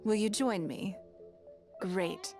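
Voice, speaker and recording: A woman's voice: medium pitch, takes it slowly, darkish tone, soft background music. An actress playing a poised, shrewd and courteous noblewoman of business in a video game. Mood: relaxed, friendly.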